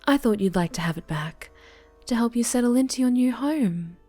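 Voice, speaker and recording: A woman playing a possessive, sinister winged superhero in an intimate ASMR roleplay, cooing with soft menace and sometimes dropping to a breathy whisper. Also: fairly high pitch, animated, normal speed, faint music behind. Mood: possessive, sweetly sinister. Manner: cooing, condescending, softly menacing. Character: possessive, sinister winged female superhero, obsessive dark angel.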